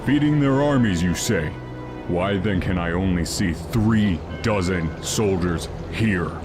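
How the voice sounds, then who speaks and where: A man's voice: deep voice, slow. A man playing a stern, earnest human army captain in a fantasy game, speaking formally. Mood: indignant. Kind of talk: fantasy game cutscene acting. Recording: music under the voice.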